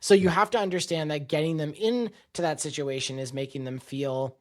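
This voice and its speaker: A man's voice: on the high side, a little fast. A man hosting a podcast, explaining ideas thoughtfully and often checking in with his co-host. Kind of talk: conversational podcast talk.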